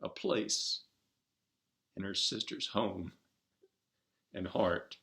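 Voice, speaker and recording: A man reading a short story aloud, narrating in measured, deliberate phrases. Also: fairly low pitch, animated, gravelly, bright, forceful tone.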